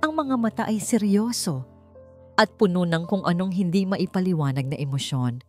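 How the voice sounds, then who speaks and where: A woman narrates a romance drama in a slightly low register. Her pitch moves expressively through the scripted prose, with faint music playing behind her. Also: lively intonation, normal speed. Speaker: story narrator.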